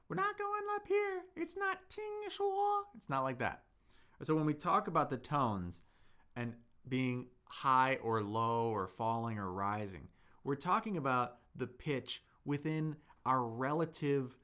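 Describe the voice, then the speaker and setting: A man's voice: mid-range voice, a bit bright. A man teaching a live-streamed Chinese lesson, patiently explaining tones, modelling words aloud and answering viewers' chat.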